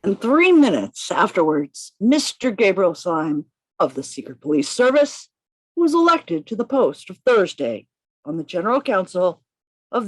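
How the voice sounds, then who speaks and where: A woman's voice: fairly low pitch, dry recording, rough recording. A woman playing a bold, defiant male undercover detective in an amateur radio play reading, with emphatic, declamatory delivery over a video call. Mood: wry narration. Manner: declamatory, emphatic, deliberate phrasing.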